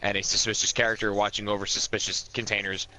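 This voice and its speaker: A man's voice: fairly low pitch, flat delivery, fast, sharp tone, low-quality recording. A man playing an online tabletop role-playing game, talking casually and bluntly with plenty of swearing, over background noise. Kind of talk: casual in-character play and recap.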